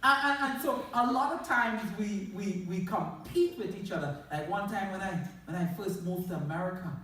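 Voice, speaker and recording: A man's voice: high voice, normal speed, a little hiss or hum. A man with a Trinidadian accent gives a humorous talk to a live audience, laughing often and telling stories with lots of animation. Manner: laughs often, animated storytelling, asks the crowd. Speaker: motivational speaker. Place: large hall in front of an audience. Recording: echoey hall, voice from far off.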